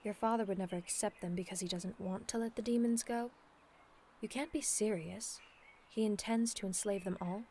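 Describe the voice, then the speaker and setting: A woman playing a dignified, earnest demon princess in a scripted ASMR audio roleplay, speaking in a formal, measured, persuasive way. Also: mid-range voice, fairly even intonation, a little mellow, slight background noise, close to an everyday voice. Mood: insistent. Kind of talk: scripted ASMR roleplay acting, addressed to the listener.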